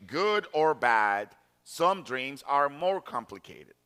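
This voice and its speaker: A man's voice: medium pitch, very animated, bright, forceful tone, clear, resonant tone. A man in his forties from Colombia, preaching a sermon and hammering home his points by repeating key phrases. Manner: deliberate, emphatic repetition of key phrases. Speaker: pastor.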